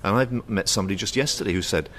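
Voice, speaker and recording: A man explains and analyses in a low-pitched voice. There is faint ambient noise behind him. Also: very fast. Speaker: commentator giving analysis. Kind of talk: explanatory interview talk with acted-out quotes.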